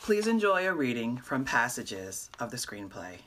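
A woman's voice: rumbling low, sing-song, moderate pace, fairly bright tone. Middle-aged woman announcing awards. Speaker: award presenter. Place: indoors, in front of a curtain.

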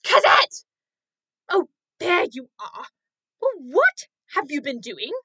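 A woman voicing a cruel, bossy innkeeper's wife who scolds in a shrill voice in a radio drama. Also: huge pitch swings, slow, pushed and bright, dry recording, full character voice. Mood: scolding, impatient.

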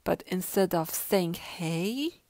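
A woman reading a children's story aloud with an expressive, rhythmic rhyming delivery. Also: mid-range voice, takes it slowly, no room sound. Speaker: storybook reader.

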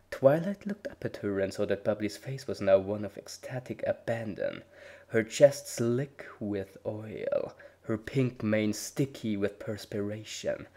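Male narrator, lower voice, steady dramatic storytelling. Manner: measured storytelling with voiced dialogue. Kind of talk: dramatic reading of fan fiction.

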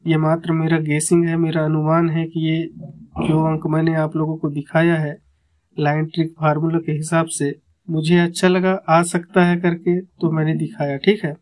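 A man's voice: a little high, little pitch movement, moderate pace, darkish tone. A man walking through number-chart sums step by step, counting aloud and repeating himself as he points along the rows. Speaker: number-game tipster. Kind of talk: explaining number chart calculations.

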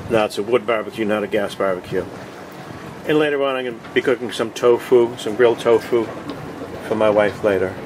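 A man giving a casual video tour in short, halting, plainspoken phrases, recorded outdoors on a boat deck with a handheld camera. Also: lowish voice. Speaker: host of a cooking vlog. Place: open deck of a boat on the water. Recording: outdoor ambient noise.

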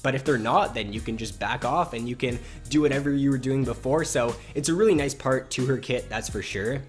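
A man with a stuffy nose from a cold, hosting a casual game strategy video and explaining his picks in a relaxed way. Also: medium pitch, fairly quick, soft background music. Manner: relaxed, explanatory, repeats phrases. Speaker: gaming video host.

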